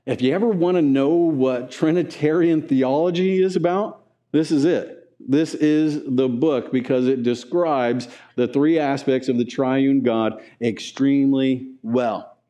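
A man's voice: mid-range voice, steady tone, measured pace, few pauses, warm tone. A man in his forties, a pastor preaching a conversational teaching sermon in a church sanctuary, gesturing as he talks and asking the room questions.

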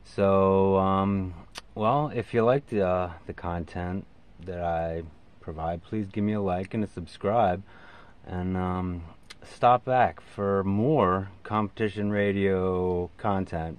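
Man, American accent, forties, lowish voice, casual how-to vlog, emphatic halting phrasing. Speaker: radio hobbyist video host. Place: inside a parked SUV.

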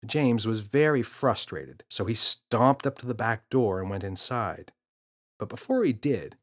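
Animated man narrating a children's story. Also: lowish voice. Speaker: author reading an audiobook.

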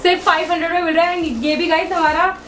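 A woman's voice: very high-pitched, little pitch movement, fast, fairly bright tone. A young woman hosting a casual product showcase, chatty and agreeable, mixing in English words. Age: young adult. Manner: chatty, echoes and affirms the seller, mixes in English words. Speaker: video host. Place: small indoor shop room with piled clothes. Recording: noisy, echoey room.

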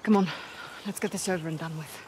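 A woman's voice: on the low side, fairly even intonation, quick pace, a little mellow, slight background noise, close to an everyday voice. An actress playing a tough, guarded, no-nonsense woman mercenary leader in a video game. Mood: impatient. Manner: blunt, terse, guarded. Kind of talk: cinematic video game acting.

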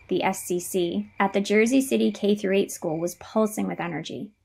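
A woman playing a female narrator telling a school drama from a script. Her medium-pitched voice is husky, with plenty of vocal fry. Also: darkish tone.

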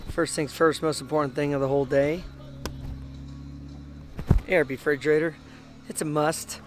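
A man's voice: fairly high pitch, fairly even intonation, normal speed. A man chatting casually and enthusiastically to viewers as an informal vlog host, recorded outdoors. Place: outdoors at a forest campsite. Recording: clear outdoor ambient and vehicle noise.

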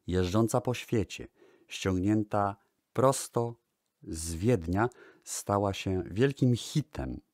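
Low male radio host, slow measured storytelling, very dry close sound. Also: a little mellow, no echo. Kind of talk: radio-style music lecture.

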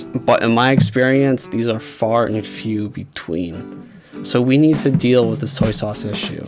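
A young man in his twenties giving relaxed, conversational tips to the camera from a small room at home, talking into a desk mic. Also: medium pitch. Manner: relaxed, conversational, slangy, sometimes dry and drawn-out. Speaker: YouTuber giving food tips. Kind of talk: casual explainer talking to camera. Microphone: desk mic with furry windscreen. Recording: clear guitar music under the voice. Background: guitar music.

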